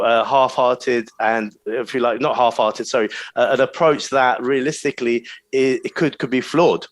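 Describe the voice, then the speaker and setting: A man's voice: mid-range voice, dry recording. Hesitant British man, dry close voice. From Britain. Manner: careful and hedging, with many restarts and fillers. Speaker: guest, crypto project team member. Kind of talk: casual interview talk.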